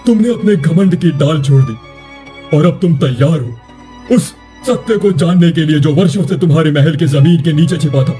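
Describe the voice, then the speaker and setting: A man playing a mysterious wandering fakir in a dramatic story, solemn, prophetic and deliberate. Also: on the high side, warm tone, faint music behind, lightly acted.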